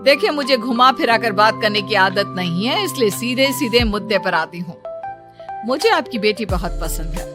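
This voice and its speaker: A woman's voice: medium pitch, expressive, moderate pace, natural voice. A woman voicing a bossy, scheming, wealthy mother-in-law in a cartoon story, with a haughty manner. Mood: businesslike, commanding. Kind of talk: Hindi cartoon story dialogue. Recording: music behind the voice.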